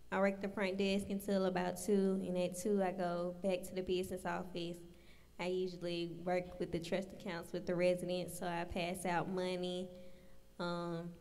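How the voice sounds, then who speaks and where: A woman's voice: fairly low pitch, darkish tone. A woman in her twenties answering a panel question in church, hesitant with frequent ums. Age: 20s. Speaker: panel guest. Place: church sanctuary. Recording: long reverb of a church hall.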